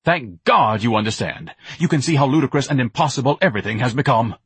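A man's voice: mid-range voice, lively intonation, quick pace, fairly bright tone, breathy edge, dry recording, pitched above the performer's own voice, full character voice. A man voicing a pompous, self-pitying, overweight grown man who talks in grand, melodramatic speeches.

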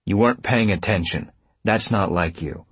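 A man's voice: on the low side, normal speed, a bit bright, slightly rough, no echo. A man narrating a story, playing a patient but stubborn man who speaks directly and steadily. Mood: firm, concerned. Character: calm, stubborn, patient man pressing for answers.

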